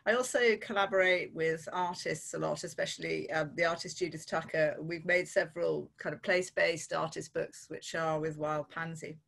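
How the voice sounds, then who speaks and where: Woman poet and academic on a video call, bright forceful voice, brisk with pauses. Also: deep voice, steady tone, gravelly. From Yorkshire. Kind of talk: festival introduction over video call.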